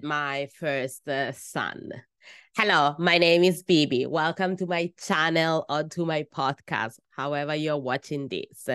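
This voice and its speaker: A woman in her thirties with an Italian accent, a midwife telling her own story on a podcast in an earnest, softly emphatic way, pausing between phrases. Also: deep voice, smooth, dry recording, clean studio sound.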